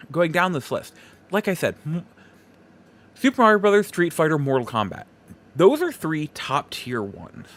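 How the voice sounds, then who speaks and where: A man's voice: mid-range voice, a bit bright. A man hosting a podcast solo, giving opinions in a casual, conversational and emphatic way. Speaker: podcast host.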